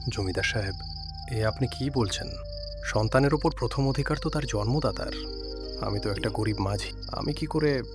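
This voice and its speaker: A man's voice: mid-range voice, moderate pace, pauses often, music in the background, close to an everyday voice. A man voicing a poor, humble boatman and devoted father, tender and emotional, in a cartoon story. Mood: humble disbelief.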